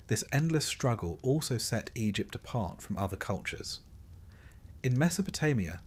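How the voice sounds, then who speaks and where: Male narrator, fairly low pitch, scripted history storytelling, measured delivery, careful phrasing, sleep-story style. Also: slightly rough.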